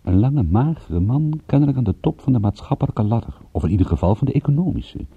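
A man's voice: mid-range voice, very animated, fast, breathy edge, no echo. An actor playing a wry, observant male private detective who narrates and converses in a dry, sardonic radio-drama style. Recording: dull, muffled old radio-play recording.

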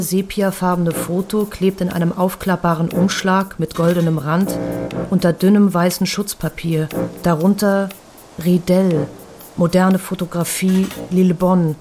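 An actress narrating memories and old photographs in a literary radio drama, with measured, precise recitation. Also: female voice, on the low side, fairly even intonation, natural voice. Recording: music bed behind the voice.

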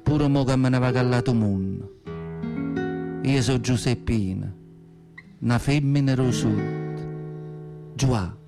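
A man's voice: mid-range voice, takes it slowly, pauses often, music in the background. An actor playing a nearly hundred-year-old, plainspoken, feisty peasant mother in a theatrical radio monologue, with a southern Italian accent and folksy, emphatic storytelling. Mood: wistful, subdued.

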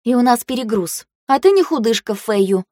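A woman voicing a catty, quick-tempered girl in an audiobook, with a caustic, sniping manner. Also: fairly high pitch, big pitch swings, dark tone, dry recording, studio quality, voice pushed up.

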